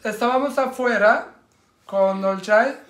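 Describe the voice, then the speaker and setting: Co-host, man, forties; deliberate, halting delivery. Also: very high-pitched, a bit bright. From Turkey. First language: Turkish. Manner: slow, halting Spanish. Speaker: vlog co-host. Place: living room. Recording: echoey room.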